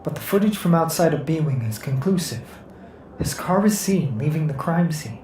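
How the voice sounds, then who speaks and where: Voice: medium pitch, expressive, moderate pace, a little mellow, a little hiss or hum, close to an everyday voice. An actor playing a serious, loyal investigating agent in a sci-fi audio drama, formal and precise. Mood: sober. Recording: echoey, faint music behind.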